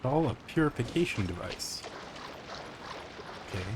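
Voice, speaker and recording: A man giving quiet, whispery gameplay commentary in low-key murmured remarks. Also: very animated, unhurried, background noise. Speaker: game streamer. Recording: game music behind the voice.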